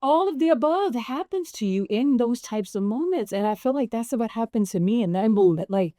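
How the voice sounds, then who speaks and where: A woman with a relatively deep voice, a guest actress telling stories in an animated, laughing way. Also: fairly quick, no room sound, clean studio sound. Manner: animated, laughs often, voice jumps up when excited. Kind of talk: casual interview storytelling.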